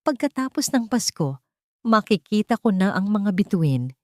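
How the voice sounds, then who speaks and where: An innocent small boy in an audio drama, put on by a woman narrator. He sounds frightened and plaintive, his questions pitched up, with dry close sound. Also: big pitch swings, moderate pace, warm tone, no room sound, close to an everyday voice. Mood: plaintive, confused.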